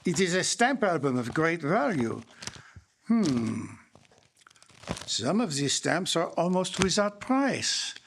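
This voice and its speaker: A man's voice: a little high, big pitch swings, slow, creaky, pauses often, fairly bright tone, a little hiss or hum, exaggerated voice. A man playing a dapper, shrewd Belgian detective with a French-speaking Belgian accent, formal and theatrical, in a live audio drama. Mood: intrigued. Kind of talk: live radio-style audio drama acting. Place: library performance before a live audience.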